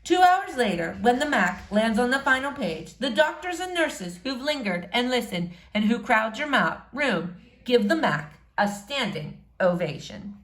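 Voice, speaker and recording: A woman's voice: fairly high pitch, big pitch swings, a little slow, fairly bright tone. A woman narrating a novel aloud expressively, like a teacher reading to pupils. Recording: roomy, echoing room sound.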